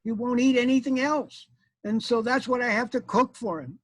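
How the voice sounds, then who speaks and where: A man's voice: very high voice, moderate pace, a bit bright, dry recording, voice pushed up. A man voicing a distressed, complaining storybook wife with a plaintive, feminine lilt. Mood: fed up, complaining. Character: crying village women and a fed-up trapped pumpkin wife. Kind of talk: live storytelling for an audience.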